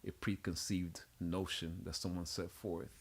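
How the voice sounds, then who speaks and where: A man's voice: sits low, little pitch movement, normal speed, husky. A man whose first language is French, hosting a casual radio talk show and asking playful, probing questions. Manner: questioning, playful, repeats "right?". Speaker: radio host.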